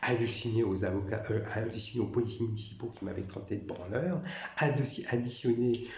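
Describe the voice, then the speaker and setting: A low-voiced man from France talks in a long, rambling monologue, circling back over the same points with insistent repetition. His voice has a rough, gravelly fry, and the room around him rings with echo. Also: a little fast. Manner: repetitive, insistent, restarts phrases. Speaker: former prison guard recounting grievances.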